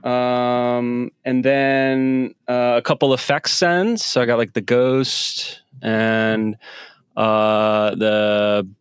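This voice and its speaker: Male guest, smooth clear voice, dry close sound, halting casual delivery full of fillers. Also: mid-range voice, steady tone, no echo. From United States. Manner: halting, many fillers and restarts. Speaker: guest musician. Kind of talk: casual interview talk. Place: home studio with synth rack.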